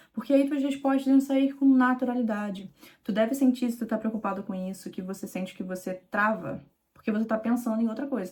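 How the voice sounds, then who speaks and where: A young woman from Rio with a Carioca accent talks straight to the viewer as an exam mentor. She speaks informally and emphatically, and she repeats her key points. Her voice sits at an ordinary middle pitch. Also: dark tone. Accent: Carioca Brazilian Portuguese. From Rio de Janeiro, Brazil. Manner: conversational, direct, addresses viewer informally with "tu"; emphatic and repetitive. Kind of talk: direct-to-camera teaching video.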